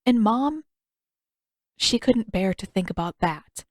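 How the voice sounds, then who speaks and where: A woman's voice: mid-range voice, lively intonation, slow, no room sound. Woman narrating fiction, very dry sound. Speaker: audiobook narrator.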